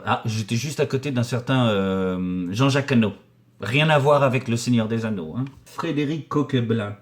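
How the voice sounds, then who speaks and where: A man's voice: medium pitch, moderate pace. A man in his forties from the Paris region, a painter and decorator answering viewer questions in a casual, joking, crude and rambling way, with many fillers and restarts. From Paris region, France. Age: 40s. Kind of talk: casual vulgar chat.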